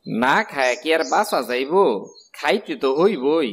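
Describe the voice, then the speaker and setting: A man's voice: a little high, animated, measured pace, a bit bright, a little hiss or hum. A man playing a gloomy, matter-of-fact villager in a cartoon, plain-spoken and resigned, with a rural eastern Bengali accent. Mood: resigned, chiding. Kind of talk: animated cartoon dialogue.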